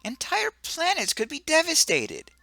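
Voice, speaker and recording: A man doing a shrill haughty female ambassador. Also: wildly swooping pitch, a little slow, gravelly, pushed and bright, dry recording, exaggerated voice. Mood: urgent. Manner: insistent, haughty.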